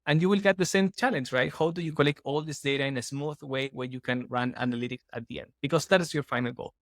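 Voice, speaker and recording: A man's voice: mid-range voice, fast, dry recording, studio quality. A man from Chile, a guest on an interview podcast, explaining at length in an earnest, restarting way. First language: Spanish. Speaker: guest, startup CEO.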